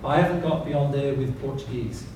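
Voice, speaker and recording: A man's voice: mid-range voice, moderate pace. A man teaching spiritual principles informally to an audience, emphatic, repeating key words and asking rhetorical questions to check they follow. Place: reverberant seminar room with audience. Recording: very echoey, noisy room, distant mic.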